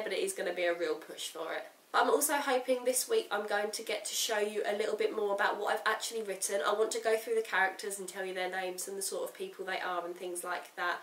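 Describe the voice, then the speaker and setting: A woman's voice: mid-range voice, fairly bright tone, far from the mic. Stuffy-nosed woman vlogging at home. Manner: rambling, talks straight to the camera. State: stuffy, croaky with a cold. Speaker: writing vlogger. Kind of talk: casual chatty vlog update. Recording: roomy echo, off-mic, faint hiss.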